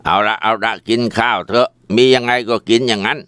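A man voicing a strict middle-aged father who scolds loudly. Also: mid-range voice, fairly even intonation, fairly quick, clean tone, no echo, studio quality, pitched above the performer's own voice, natural voice. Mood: weary. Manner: shouting, scolding.

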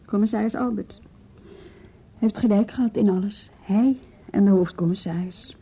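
An actress in a radio play voicing a patient adult police officer who speaks with calm, measured reassurance. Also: female voice, mid-range voice, breathy edge. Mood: sober. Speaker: police officer, youth bureau.

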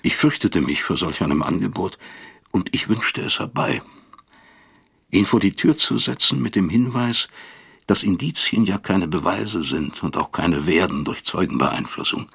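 Very deep, gravelly brooding narrator. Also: male voice, expressive, moderate pace, a bit bright, heavily acted. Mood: fearful, self-reproachful. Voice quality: gravelly, husky. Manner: reflective, literary, bitter. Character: brooding male narrator, remorseful, fearful, self-accusing father. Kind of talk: radio drama first-person narration.

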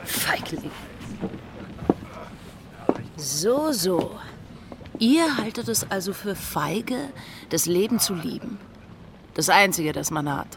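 Haughty, slow, swooping interrogator. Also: female voice, fairly low pitch, lively intonation, background noise. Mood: scornful. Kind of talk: German radio drama acting. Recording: studio radio drama with loud music.

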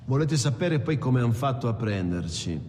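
An actor playing a weary captured robber, telling his story in a halting, colloquial confessional monologue. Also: mid-range voice, animated, lightly acted. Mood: bitter recounting. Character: weary captured male robber recounting betrayal and beatings. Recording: film soundtrack under loud music.

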